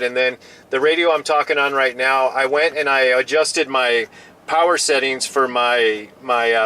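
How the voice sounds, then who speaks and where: A man's voice: medium pitch, sharp tone, poor audio. A man chatting casually as a radio hobbyist, often restarting and repeating his phrases.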